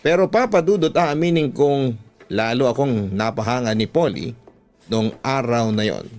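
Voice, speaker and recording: A man's voice: medium pitch, animated, normal speed, a little mellow. A man with a Filipino accent narrating a dramatic first-person story as a radio drama storyteller. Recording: music bed under the voice.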